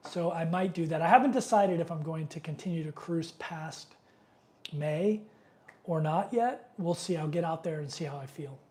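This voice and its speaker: A man's voice: a little high, moderate pace, mellow. Sniffly middle-aged man chatting live. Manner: loose and rambling, many fillers, reads viewer comments aloud. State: congested, sniffling with a cold. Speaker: live stream host. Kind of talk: casual live Q&A chat.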